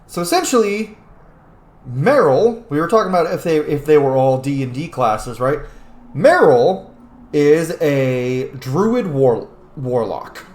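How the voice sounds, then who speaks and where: A man's voice: a little high, lively intonation, takes it slowly, a little mellow, roomy sound. A man giving casual, opinionated gaming commentary, speaking bluntly and emphatically with frequent swearing. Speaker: gaming channel host. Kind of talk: casual let's-play commentary with opinionated rambling.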